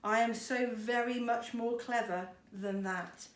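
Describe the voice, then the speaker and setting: A woman's voice: on the high side, steady tone, measured pace, far from the mic, close to an everyday voice. A woman reading a children's storybook, voicing a proud, self-satisfied little man who boasts with emphasis. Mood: smug. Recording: roomy echo with background noise.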